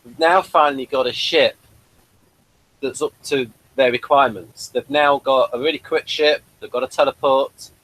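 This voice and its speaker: Casting: dry, measured male co-host with mid pitch. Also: steady tone, fairly bright tone, low-quality recording. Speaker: podcast co-host. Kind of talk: casual chat.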